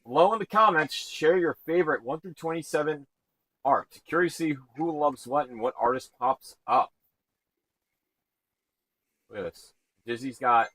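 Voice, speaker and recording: A man with a booming voice hosting a live stream, energetic and shouting out viewers. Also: no echo, low-quality recording. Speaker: stream host.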